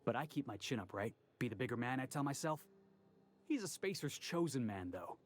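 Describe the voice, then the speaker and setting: An actor playing an eager, cocky young dock worker in a video game, earnest and a bit stiltedly formal. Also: male voice, mid-range voice, expressive, brisk, natural voice. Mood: indignant, boastful. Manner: earnest, stiltedly formal when reciting, boastful.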